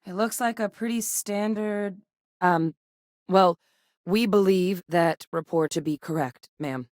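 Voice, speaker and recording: A battle-hardened female veteran turned scientist from a sci-fi video game. Her voice sits a little low and is captured close and dry. She talks in a clipped, no-nonsense way, matter-of-fact and used to giving orders. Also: slow pace, darkish tone, no echo. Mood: composed, matter-of-fact. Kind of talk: scripted video game character dialogue.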